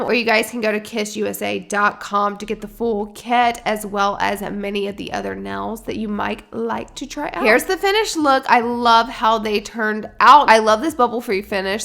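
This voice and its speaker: A woman vlogger with a stuffy, slightly raspy voice, chatting upbeat and straight to her viewers as she walks through a tutorial. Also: medium pitch, fairly bright tone. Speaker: beauty vlogger. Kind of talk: sponsored tutorial vlog.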